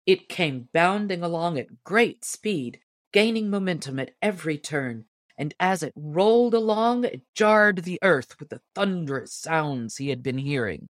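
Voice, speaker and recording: A woman's voice: fairly low pitch, expressive, a little slow, dry recording, clean studio sound. A woman reading a storybook tale aloud as scripted narration. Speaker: audiobook narrator.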